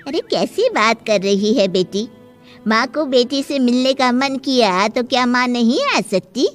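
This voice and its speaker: A woman's voice: on the high side, unhurried, soft background music, lightly acted. A woman voicing a chatty, good-natured visiting older woman in a cartoon moral story, sociable and coaxing. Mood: teasing.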